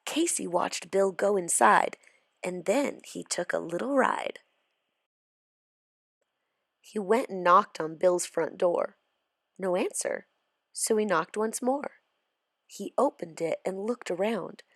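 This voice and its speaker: A woman reading a children's storybook aloud as expressive scripted narration. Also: mid-range voice, slow pace, creaky, pauses often, dry recording, clean studio sound. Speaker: storybook reader.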